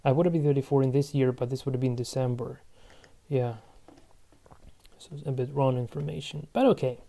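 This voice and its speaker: A man in his thirties giving casual commentary while playing a board game online, musing aloud to himself in a halting way. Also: mid-range voice, steady tone, vocal fry, warm tone. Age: 30s. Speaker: channel host playing online board games.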